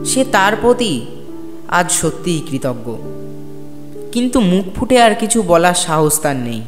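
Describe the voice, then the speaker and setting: A man with a high voice narrates a scripted ghost story. His voice rings in a long reverb, with music clearly playing behind him. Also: sing-song, measured pace.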